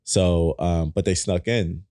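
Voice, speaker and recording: Brief: German-native man, 30s, low-voiced, dry studio sound, lively laughing storyteller. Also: takes it slowly, dry recording. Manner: animated, laughing, swearing freely. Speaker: podcast guest, explorer. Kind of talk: casual storytelling in a podcast. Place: small indoor podcast studio. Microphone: boom mic on a stand.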